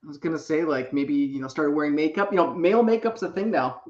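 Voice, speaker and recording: A man's voice: a little high, quick pace, echoey room, highs cut off. A man in his late thirties co-hosting over a video call, teasing and wry. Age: 30s. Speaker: co-host. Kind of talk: casual video-call chat.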